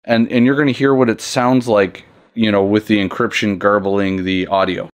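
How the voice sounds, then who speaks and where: A man's voice: lowish voice, steady tone, moderate pace. A man hosting an instructional tutorial, explaining things step by step in an informal, plain-spoken way. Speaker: tutorial host. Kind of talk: casual instructional demo, step-by-step.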